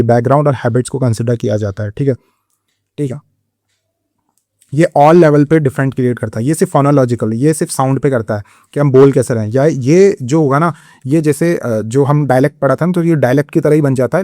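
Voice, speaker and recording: A man's voice: medium pitch, mellow, dry recording, studio quality. A man teaching an exam-prep lecture in Hindi mixed with English terms, speaking with an Indian accent and checking understanding often. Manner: explanatory, repeats "theek hai" to check understanding.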